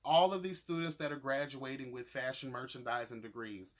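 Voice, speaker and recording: A man's voice: mid-range voice, steady tone, moderate pace. A man giving casual, opinionated episode commentary as a vlogger, sassy and emphatic, with slangy wording and free swearing. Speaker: vlogger reviewing reality show episodes.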